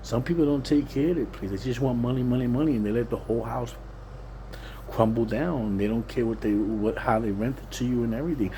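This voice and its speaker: A man's voice: mid-range voice, fairly quick, dark tone, a little hiss or hum, rough recording. A plain-spoken, streetwise Puerto Rican man giving a casual, rambling, repetitive monologue. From Puerto Rican, living in Pennsylvania, USA. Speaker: vlogger.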